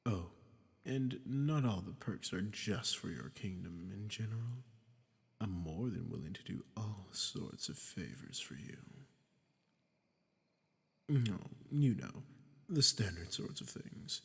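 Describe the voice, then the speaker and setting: A man playing a smug, dominant archdemon in a roleplay audio drama, teasing and condescending, with theatrically drawn-out phrasing and a husky, breathy voice. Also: on the low side, animated, long reverb tail, full character voice. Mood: smooth persuasion. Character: smug, dominant male archdemon toying with humans.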